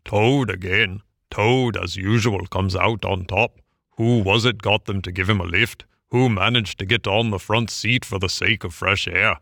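A man's voice: fairly low pitch, fairly even intonation, measured pace, gravelly, pushed and bright, no echo, clean studio sound, full character voice. A man voicing a boastful, reckless male toad in a storybook reading, gloating and self-admiring.

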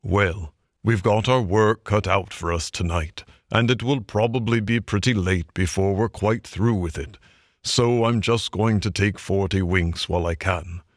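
A man doing a dry, quiet old badger. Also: fairly low pitch, measured pace, no room sound. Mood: dryly approving. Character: wise old male badger, dry and gruff.

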